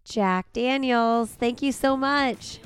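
A woman hosting a podcast, raspy from a cold, chatting casually and laughing often. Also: on the high side, slow, soft background music. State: recovering from a cold. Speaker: podcast host. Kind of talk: casual interview chat.

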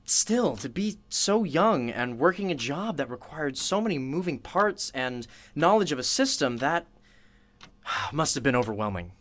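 A man's voice: a little high, sing-song, a little slow, a bit bright. An actor playing an eager, inquisitive young male radio interviewer in a drama, conversational and a little hesitant in his phrasing. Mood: surprised, tactful.

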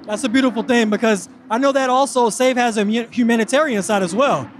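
A man hosting an interview with a high, bright-toned voice and an upbeat, hype-driven delivery. He speaks outdoors at a racetrack, with faint noise in the background. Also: a little fast. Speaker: host.

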